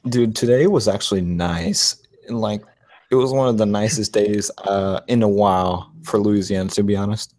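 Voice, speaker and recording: A man's voice: lowish voice, steady tone, moderate pace, darkish tone. A young man from Texas with a slight Texas accent, hosting a casual live stream chat, easygoing and laughing often. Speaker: motovlog live stream host.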